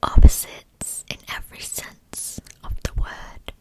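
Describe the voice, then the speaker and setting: A woman whispering in a hushed, soothing way as the host of an ASMR true crime channel. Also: takes it slowly, low-quality recording. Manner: hushed, soothing delivery meant for sleep.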